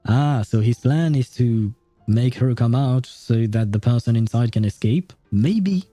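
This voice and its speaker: A man's voice: medium pitch, measured pace, warm tone, dry recording. A man reading a game story aloud and adding casual, sardonic commentary as a streamer. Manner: sardonic asides. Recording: background music behind voice.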